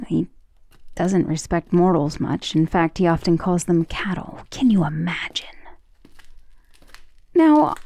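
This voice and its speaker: A woman voicing an ancient, tender and protective vampire lover in an intimate, breathy romantic ASMR roleplay, with genteel wording and a vulnerable air. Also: on the low side, warm tone, exaggerated voice. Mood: confiding, disdainful, then measured, uneasy. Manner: intimate, breathy half-whispers with sighs.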